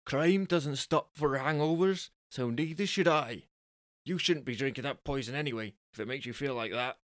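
A man's voice: mid-range voice, normal speed, no echo, studio quality, close to an everyday voice. A man playing an earnest, deluded would-be superhero boyfriend who makes solemn, self-important declarations. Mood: solemn, righteous.

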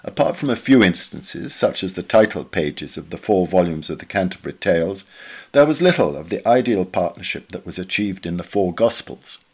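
A man reading a written memoir aloud as scripted narration, in a measured, formal manner with precise diction. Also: deep voice, animated, breathy edge. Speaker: podcast reader.

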